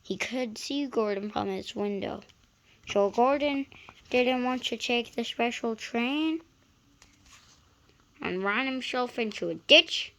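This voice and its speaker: A woman reading a children's story aloud in an expressive style. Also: fairly high pitch, takes it slowly, pauses often. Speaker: story reader.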